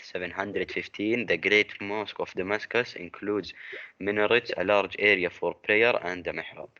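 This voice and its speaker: Young male student, low and bright voice, reads text aloud steadily, muffled call audio. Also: moderate pace. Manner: steady reading with a few slips. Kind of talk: reading a textbook aloud.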